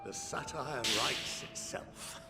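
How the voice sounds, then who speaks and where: Sardonic video game scholar, male actor: slow, theatrical, wry, with loud music behind. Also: natural voice. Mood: amused sarcasm. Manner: theatrical, drawn-out, wry delivery. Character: gleefully sarcastic, theatrical male elder scholar. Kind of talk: scripted video game voice acting. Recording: reverberant game audio under loud music.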